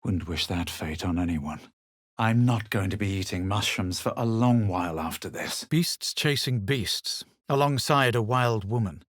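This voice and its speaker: A composed, haughty male adventurer from a fantasy game. His voice sits low and is recorded very dry and close. He speaks in measured phrases with a faint air of superiority. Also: lively intonation, no room sound. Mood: cool, measured. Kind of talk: video game character lines, scripted.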